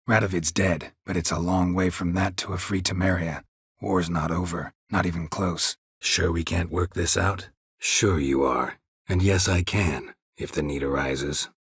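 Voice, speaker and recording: A man voicing a gruff, weary monster hunter in a fantasy video game, with a husky rasp and terse, deadpan, sardonic sentences. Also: low-pitched, no room sound, full character voice. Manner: terse, clipped, deadpan sentences with dry sarcasm.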